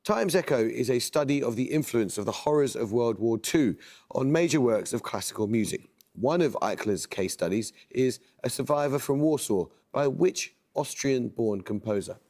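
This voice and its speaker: A man hosting a quiz show in a TV studio, reading questions in a measured, precise way. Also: mid-range voice, lively intonation, a little mellow.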